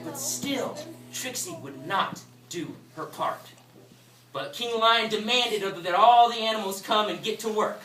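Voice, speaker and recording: A woman hosting a live, interactive story for children in an animated, folksy, exclamatory way. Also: medium pitch. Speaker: puppet show host and storyteller. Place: indoor room with an audience. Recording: echoey room, distant mic, music.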